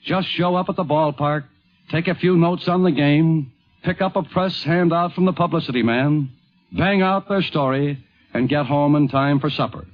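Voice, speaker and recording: A man's voice: fairly high pitch, normal speed, faint music behind. A man narrating a scripted radio documentary in a 1950s broadcast style. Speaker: narrator and host. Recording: dull vintage 1950s radio recording.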